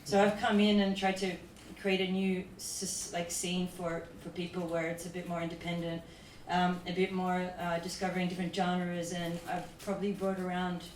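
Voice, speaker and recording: A woman from Dubai talks on a conference panel in a loose, chatty way, full of fillers and "like." Her voice sits low and barely moves in pitch. She is heard from a distance in an echoey hall with faint noise behind her. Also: little pitch movement, normal speed, hardly any pauses. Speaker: panel speaker, events promoter.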